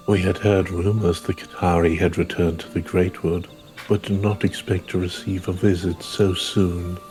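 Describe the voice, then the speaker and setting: A man plays an ancient woodland elder from a fantasy game. The voice is deep and evenly pitched, gracious and wise, with measured archaic phrasing over faint music. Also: flat delivery, a little mellow, close to an everyday voice. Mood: nostalgic, gracious. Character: ancient, gracious, wise woodland elder of long-lived rabbit-eared folk. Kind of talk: reading video game dialogue in character.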